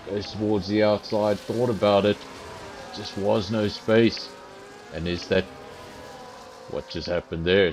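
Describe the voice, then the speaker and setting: Male sim racing commentator from South Africa, lowish voice, chatty and self-deprecating, faint noise under the mic. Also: darkish tone, rough recording. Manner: chatty, self-deprecating, addresses viewers directly. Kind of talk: live esports race commentary.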